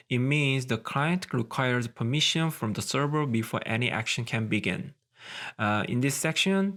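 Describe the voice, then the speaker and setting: A man's voice: mid-range voice, a bit bright. Methodical man presenting a technical tutorial. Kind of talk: scripted technical explanation.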